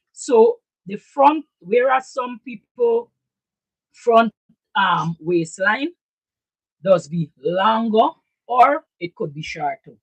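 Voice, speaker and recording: A hoarse woman, chatting casually through a live sewing tutorial, repeating her points and often checking in with "right?". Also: medium pitch, dry recording, poor audio. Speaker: sewing and pattern-drafting teacher. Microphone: camera set up in front of her.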